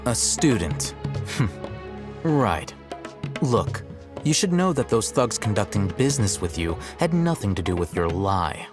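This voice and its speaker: Low-voiced, aloof young scholar over music. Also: male voice, sing-song. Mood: curt, dismissive. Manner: measured, dry, condescending, articulate. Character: aloof, blunt young male scholar, coolly confident. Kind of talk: scripted video game cutscene acting. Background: background music.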